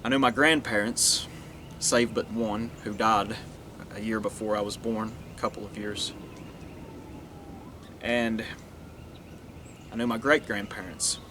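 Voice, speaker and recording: A man's voice: lowish voice, steady tone, measured pace, pauses often, background noise. A man from Northeast Tennessee, talking earnestly in a rambling monologue that restarts and trails off. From Northeast Tennessee, USA. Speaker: vlogger. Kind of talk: casual monologue to camera.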